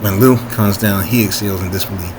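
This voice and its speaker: A man reading his own story informally, haltingly, with asides and frequent rereading to correct himself. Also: sits low, fairly even intonation, background noise.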